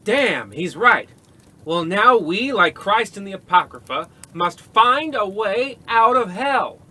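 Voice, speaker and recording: A man acts out a bombastic police detective from an old-style radio play. The voice sits fairly high and rings bright and forceful. It swings widely in pitch, pompous and impatient, as if every order were a proclamation. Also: sing-song, takes it slowly, exaggerated voice. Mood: melodramatic. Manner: pompous, declamatory. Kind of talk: old-time radio comedy acting.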